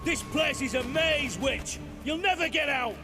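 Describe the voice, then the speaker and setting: A man's voice: very high voice, unhurried, music in the background. A man playing an aggressive, commanding guard hunting a witch in a video game, barking his words. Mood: furious.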